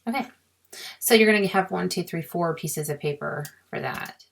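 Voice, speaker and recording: A woman with a sore, scratchy throat, explaining a crafting software task step by step in a chatty way and muttering to herself as she clicks. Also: lowish voice, moderate pace. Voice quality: raspy with creaky fry. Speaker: crafting tutorial host. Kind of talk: screen-recorded software walkthrough.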